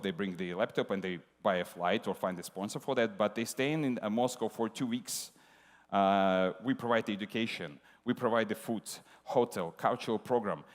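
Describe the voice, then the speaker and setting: A man giving a casual conference talk, speaking informally with many fillers and hesitations. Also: medium pitch. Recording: hall reverb from a live stage.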